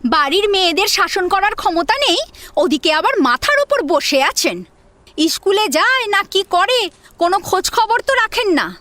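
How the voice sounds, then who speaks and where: A woman playing a spiteful young daughter-in-law in an audio story, nagging in shrill, accusing taunts. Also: sing-song, normal speed, pushed and bright, dry recording, exaggerated voice. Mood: spiteful taunting. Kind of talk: Bengali audio story acting.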